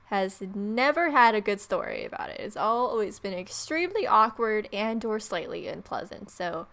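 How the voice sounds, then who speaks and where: A woman's voice: medium pitch, very animated, moderate pace, creaky, fairly bright tone. A woman hosting a gaming video, giving flippant, sarcastic commentary and improvised replies to characters.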